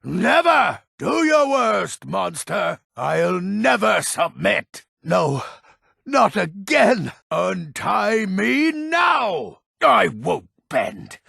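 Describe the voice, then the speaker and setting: An actor playing a grumpy, defiant old man in a fantasy video game, with a raspy, gravelly voice and a gruff, theatrical, emphatic delivery. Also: high voice, very animated, drawn-out words, sharp tone, no echo, clean studio sound, exaggerated voice. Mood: defiant, desperate. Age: older.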